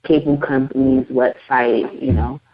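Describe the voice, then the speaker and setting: A woman's voice: extremely low pitch, animated, a little slow, crowd noise. A young woman chatting casually as an interview guest, with a lot of "um" and "like" fillers. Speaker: interview guest, radio host and publisher. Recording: dull lo-fi podcast audio.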